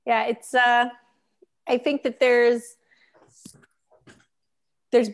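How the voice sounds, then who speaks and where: A young woman, a magazine editor, chatting casually on a video call through earbuds, with lots of fillers and quick laughs. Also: fairly high pitch, little pitch movement. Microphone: earbud headset on video call.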